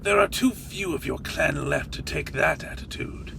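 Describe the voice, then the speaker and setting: A man's voice: fairly high pitch, normal speed, vocal fry, pushed and bright, slight background noise, full character voice. A man playing a loyal, blunt vampire bodyguard in a fan audio drama, with a dry, chiding, formal way of talking. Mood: concerned, blunt.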